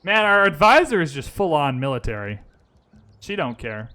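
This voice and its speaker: A man with a bright, forceful voice pitched a little high talks through a strategy game in a live stream. He thinks his moves aloud in a loose, chatty way and breaks off to answer viewers. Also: big pitch swings, moderate pace. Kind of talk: casual gaming commentary. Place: lives in Vancouver.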